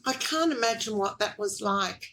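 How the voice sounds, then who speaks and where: A woman hosting a podcast, narrating a guest's story in an expressive, melodic scripted style and asking interview questions. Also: medium pitch, normal speed, background noise. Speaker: podcast host.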